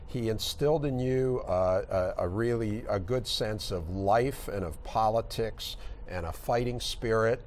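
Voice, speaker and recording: A man's voice: fairly low pitch, measured pace, a little mellow, slight background noise. A man hosting a studio TV interview, asking questions conversationally. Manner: conversational, prompting with short acknowledgments. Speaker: TV host.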